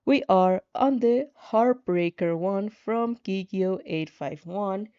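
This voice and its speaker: A woman casually chatting on a livestream as she reads fan fiction, halting and repeating herself with little giggles. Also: mid-range voice, dark tone, clear, resonant tone. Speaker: streamer reading fan fiction aloud. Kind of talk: casual livestream chat and read-aloud. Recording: muffled, roomy home recording with music.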